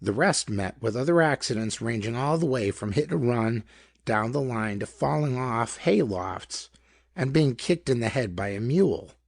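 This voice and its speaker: Man doing a gravelly, folksy small-town lawman. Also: medium pitch, animated, normal speed, dry recording. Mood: puzzled, insistent. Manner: colloquial, slangy, hesitant explaining.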